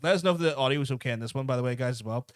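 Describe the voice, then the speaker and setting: A man co-hosting a gaming podcast, reacting quickly and excitedly to trailers and swearing freely. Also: mid-range voice, clean tone, no echo. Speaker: podcast co-host. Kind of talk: casual chat reacting to game trailers.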